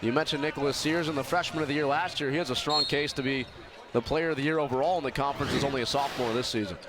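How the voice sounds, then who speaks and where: A man's voice: medium pitch, steady tone, very fast. An American man giving live volleyball analysis as colour commentator, explaining plays with emphasis on key words. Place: indoor sports arena during a match. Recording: clear gym noise, bouncing balls. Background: arena noise, balls bouncing.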